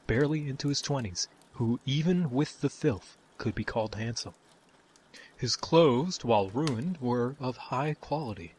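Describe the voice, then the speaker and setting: Man narrating fantasy, medium pitch. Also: a little mellow, slight background noise, rough recording. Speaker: narrator, game-style storyteller.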